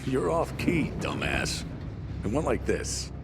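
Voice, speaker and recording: An actor playing a gruff, loyal military lieutenant who talks bluntly and rallies his squad in a video game cutscene. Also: medium pitch, wildly swooping pitch, takes it slowly, music in the background, heavily acted. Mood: commanding. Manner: commanding, comradely, blunt and profane.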